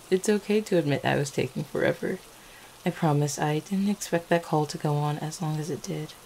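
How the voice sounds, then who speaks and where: A woman playing an affectionate, playful vampire girlfriend in an intimate ASMR roleplay, teasing gently with small hesitant stammers. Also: low-pitched, vocal fry, a little hiss or hum, poor audio. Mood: playful, tender. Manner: soft-hearted, teasing, hesitant little stammers and chuckles.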